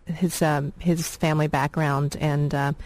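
A woman's voice: low-pitched, little pitch movement, measured pace, a little mellow, no room sound. A woman in her thirties, originally from Vietnam, a film director speaking as a radio guest in a reflective, rambling way with many fillers. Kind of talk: radio interview, personal storytelling.

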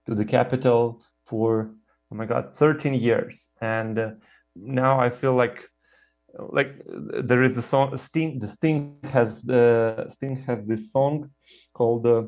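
Guest, man, Ukrainian accent, mid pitch, halting with fillers, dull lo-fi line. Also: steady tone, darkish tone, soft background music. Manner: halting, with fillers and repeated words. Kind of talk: conversational interview answers. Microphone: video call.

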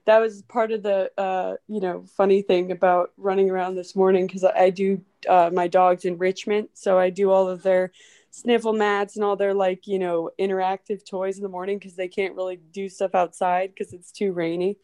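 A woman chatting as a guest in a casual interview, bubbly and quick to laugh. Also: medium pitch, little pitch movement, warm tone.

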